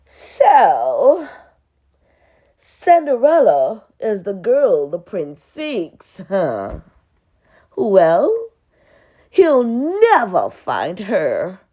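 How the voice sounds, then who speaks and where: A woman plays a spiteful storybook stepmother. The delivery is cold, suspicious and deliberate, in a slow voice with husky edges. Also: medium pitch, wildly swooping pitch, dark tone, full character voice. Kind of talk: storybook read-aloud character voice.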